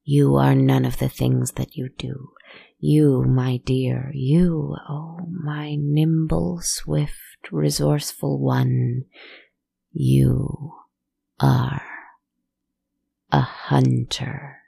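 A storyteller voicing an old woman fortune teller, speaking in a slow, hissing whisper that is low and gravelly. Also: flat delivery, warm tone, no echo, exaggerated voice. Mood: intense.

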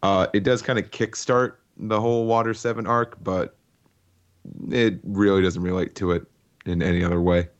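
A man's voice: deep voice, flat delivery, normal speed, muffled recording. A man on a casual podcast, giving knowledgeable answers with dry wit. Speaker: podcast co-host.

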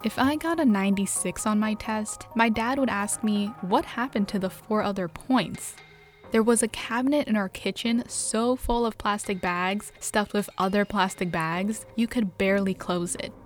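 A young woman from New York narrates memories of her upbringing in a plain, reflective voice of middle pitch. Her delivery is scripted and even. Soft music plays faintly underneath. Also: moderate pace. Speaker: journalist and podcast apprentice.

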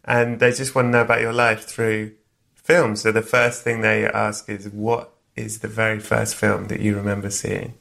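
Man, gravelly voice, jokey podcast host, casual interview chat. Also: on the low side, level tone, moderate pace, fairly bright tone. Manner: jokey, teasing.

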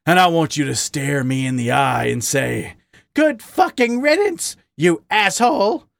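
A man voicing a bitter, volatile male badger who shouts in anguish and then turns weary. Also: a little high, sing-song, measured pace, creaky, no room sound, clean studio sound, pitched above the performer's own voice, full character voice. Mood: pleading.

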